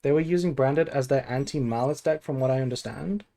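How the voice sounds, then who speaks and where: Sleepy guy streaming a card game, mellow relaxed voice, just thinking out loud casually. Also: medium pitch, brisk. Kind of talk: casual gameplay stream chat and deck analysis.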